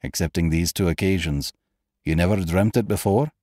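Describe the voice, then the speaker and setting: A man playing a grave, composed doctor who questions firmly in a stern, measured, formal way for a storybook. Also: deep voice, warm tone, no room sound, clean studio sound. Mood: grave, probing.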